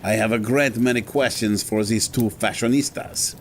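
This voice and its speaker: A man's voice: on the low side, fairly even intonation, moderate pace, slight background noise, lightly acted. An actor playing a stern, haughty male head of an academy in an audio drama, speaking formally. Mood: imperious. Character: pompous, evasive, imperious scholar in charge of an academy.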